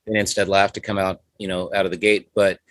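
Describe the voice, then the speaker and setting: A man's voice: low-pitched, little pitch movement, fast talker, fairly bright tone, no room sound. Rambling, laid-back man, dry close mic. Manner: rambling, many "you know" fillers. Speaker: guest musician. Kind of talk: casual interview chat.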